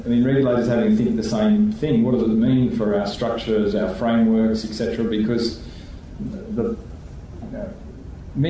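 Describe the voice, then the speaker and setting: A man, a regulator answering questions on a conference panel in a measured way, thinking aloud with restarts. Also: fairly low pitch, dark tone, slight background noise. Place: conference hall. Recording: echoey hall, voice from far off.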